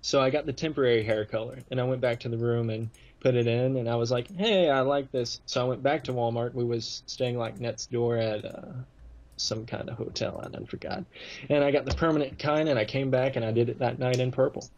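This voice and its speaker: A man's voice: mid-range voice, steady tone, fairly quick, darkish tone. Laid-back-worded male podcast guest, muffled lo-fi sound. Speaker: guest on an anime talk podcast. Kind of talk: casual chat.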